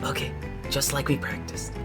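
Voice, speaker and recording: A man voicing an excitable young thief who also plays a noble stage swordsman in a theatrical video game fan dub. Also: moderate pace, over music, lightly acted. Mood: excitable banter. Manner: stagey declaiming mixed with grumbling banter.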